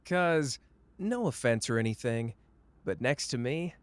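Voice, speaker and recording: A man's voice: medium pitch, animated, unhurried, clear, resonant tone, no room sound, natural voice. A man playing a cocky, flamboyant young sorcerer who teases the listener in a playful, smug roleplay audio drama. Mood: smug, teasing. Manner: playful, smug, drawling teasing.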